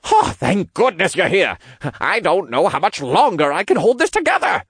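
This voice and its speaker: High, strained old sorcerer. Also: male voice, big pitch swings, moderate pace, a bit bright, breathy edge, dry recording, studio quality, exaggerated voice. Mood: relieved, desperate. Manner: strained, fretful.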